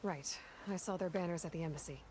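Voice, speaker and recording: Woman playing a low-voiced, inquisitive adventurer. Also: warm tone, slight background noise, lightly acted. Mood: curious. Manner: measured, probing questions. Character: inquisitive, level-headed female adventurer and warrior. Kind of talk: video game dialogue.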